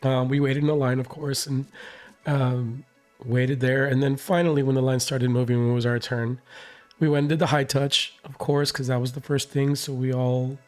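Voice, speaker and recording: A man with a hoarse, recovering voice, casually recapping his concert experience with many fillers and restarts. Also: mid-range voice, flat delivery, moderate pace, faint music behind. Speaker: reaction channel host.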